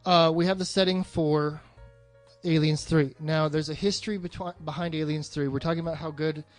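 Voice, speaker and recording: A man's voice: on the high side, steady tone, moderate pace, no echo, soft background music. A man hosting a podcast in a casual, rambling movie discussion, swearing freely and sounding enthusiastic. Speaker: podcast host.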